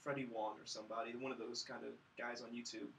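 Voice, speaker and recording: A man's voice: mid-range voice, little pitch movement, fast talker, gravelly, fairly bright tone, a little hiss or hum. A man on a film review podcast, recapping casually with a sarcastic, mock-grandiose comic delivery. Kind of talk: casual comedic plot recap.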